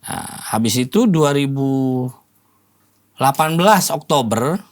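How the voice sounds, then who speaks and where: A man's voice: medium pitch, animated, takes it slowly. A man in his forties chatting casually as a guest, telling stories in an interview. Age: 40s. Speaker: podcast guest, political volunteer and former journalist.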